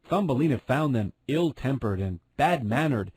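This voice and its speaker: A man's voice: medium pitch, lively intonation, moderate pace, a little mellow, slightly rough, dry recording. A man narrating a fairy tale as a scripted storyteller.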